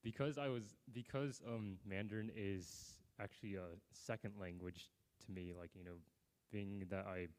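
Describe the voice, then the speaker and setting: A young man from the United States chatting casually on stage at an open mic, relaxed and rambling with lots of fillers, in an outdoor amphitheater. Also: on the low side, moderate pace, smooth.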